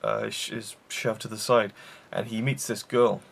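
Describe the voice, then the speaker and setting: A man's voice: fairly low pitch, sing-song, measured pace, creaky. A man hosting a casual film review show, rambling with enthusiasm and many fillers and restarts.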